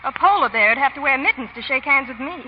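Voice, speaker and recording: A woman's voice: high-pitched, animated, fast. An actress playing an anxious, devoted young woman engaged to a boxer, earnest and emotional, in old radio drama style. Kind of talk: 1930s-40s radio acting. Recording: muffled, noisy old radio broadcast.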